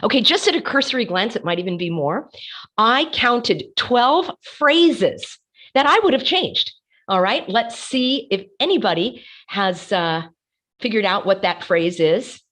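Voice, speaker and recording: A woman hosting an online commentary show, hoarse from laryngitis, talking passionately and hammering her points with repeated words. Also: medium pitch, expressive, a little slow, fairly bright tone. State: losing her voice. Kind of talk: casual commentary while sharing her screen.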